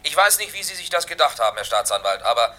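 An actor playing an exacting, brisk male forensic chemist in a German historical crime radio drama, precise and assertive. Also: a little high, big pitch swings, pushed and bright. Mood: brisk, demanding.

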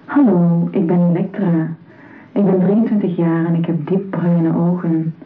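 A woman's voice: on the low side, steady tone, a little slow. An actress in her twenties playing a young woman introducing herself on a dating video in a radio play. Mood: coy, presenting. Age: 20s. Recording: distant, noisy, narrow playback sound.

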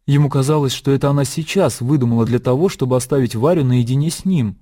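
Мужчина читает литературное повествование от автора в радиоспектакле. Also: male voice, medium pitch, quick pace, mellow. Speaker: narrator. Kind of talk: scripted literary narration in radio drama.

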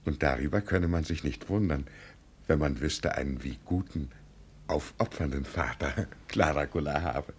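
A man acting a proud, doting poor village father in a 1950 radio drama, husky and earnestly boastful. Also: a bass voice, moderate pace. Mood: fond, reminiscing. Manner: earnest, boastful about his child.

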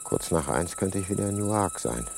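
A coldly calculating killer with polite manners. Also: male voice, fairly low pitch, huge pitch swings, a little fast, creaky, background noise, exaggerated voice. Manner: muttering inner monologue, then courteous small talk. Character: calculating middle-aged male murderer, outwardly polite. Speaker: radio play actor. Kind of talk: 1980s radio drama acting.

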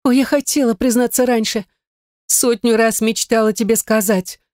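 A female reader plays an obsessive woman in love, scheming and jealous. Her voice rises high as she wheedles and insists, emotional throughout. It is a dry audiobook delivery. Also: animated, normal speed, mellow, no echo, lightly acted. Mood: fervent.

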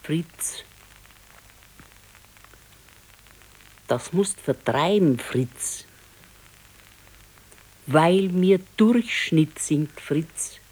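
An actress playing a practical, modest old wife with a Bavarian accent, admonishing and matter-of-fact in a radio play. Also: female voice, fairly low pitch, darkish tone, rough recording. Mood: firm, resigned. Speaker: radio drama actor. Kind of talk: 1970s naturalistic dialect radio play.

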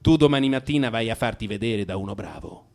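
A woman's voice: rumbling low, lively intonation, fast, darkish tone, voice pushed down. A man voicing an exasperated, blunt wife who scolds and swears in a radio drama. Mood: angry scolding.

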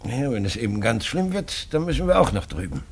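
Older father for radio drama: stubborn, proud, husky with a creaky edge, animated pitch. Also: fairly quick. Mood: defiant, bitter. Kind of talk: German radio drama acting.

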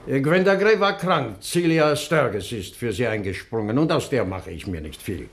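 An actor playing a boozy, careless servant who talks in an easygoing, rambling way, in a 1960s radio drama. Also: male voice, medium pitch, lively intonation, normal speed, close to an everyday voice. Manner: easygoing, rambling, slightly tipsy.

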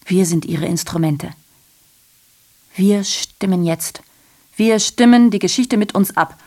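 An actress playing a female messenger in a literary radio drama monologue, recounting atrocity with biting irony in a deliberate, declamatory way. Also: medium pitch, a little mellow. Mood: wry, deliberate.

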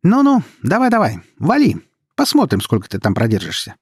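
A man voicing a sharp-tongued young woman, a teasing elder sister who taunts and scolds in an audiobook story. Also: medium pitch, big pitch swings, vocal fry, breathy edge, no room sound, clean studio sound, voice pushed up, full character voice. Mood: mocking. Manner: taunting, brash, quick to scold.

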